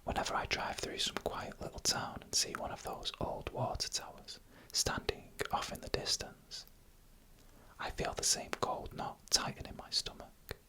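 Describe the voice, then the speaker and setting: Bright, whispering ASMR storyteller. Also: normal speed, low-quality recording. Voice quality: breathy unvoiced whisper. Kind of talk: whispered ASMR narration of scary first-person stories.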